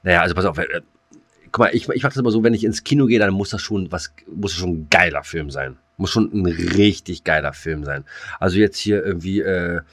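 A man's voice: low voice, brisk, a bit bright, dry recording. A man co-hosting a podcast in casual chat, rambling with many fillers and restarts. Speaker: podcast co-host.

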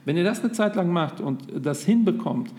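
A man in his thirties with a voice that sits a little high for a man co-hosts a relaxed podcast. He talks loosely and keeps hesitating between thoughts, and he sounds at ease, like he is chatting with a friend. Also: moderate pace. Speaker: podcast co-host. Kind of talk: casual podcast chat.

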